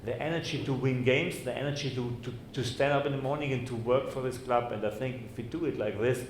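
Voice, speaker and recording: A man speaks to fans in an earnest, deliberate way and repeats key words. His voice sits at a middle pitch, and the room is echoey, with a faint noise behind him. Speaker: football manager on a panel.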